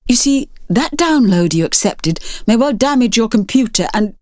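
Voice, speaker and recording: A woman's voice: a little high, lively intonation, normal speed, no room sound, clean studio sound, lightly acted. A British woman voicing an urgent, commanding woman adventurer in an audiobook, insistent and earnest. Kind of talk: audiobook character dialogue.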